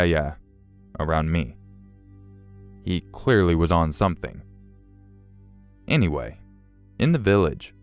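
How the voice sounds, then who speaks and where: A man narrating a true-style horror story in a slow, measured, somber way. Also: deep voice, clean tone. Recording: faint music behind.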